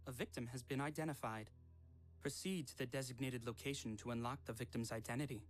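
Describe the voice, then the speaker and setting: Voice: medium pitch, a little fast, no room sound, soft background music, close to an everyday voice. A performer voicing a cold, formal announcer system in a killing-game video game.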